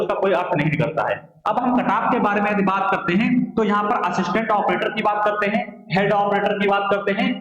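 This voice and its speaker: A high-pitched male voice, heard in a very echoey, slightly muffled room. He explains like a coaching teacher. He poses questions, answers them himself and repeats key phrases as he goes. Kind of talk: explanatory lecture to online exam aspirants.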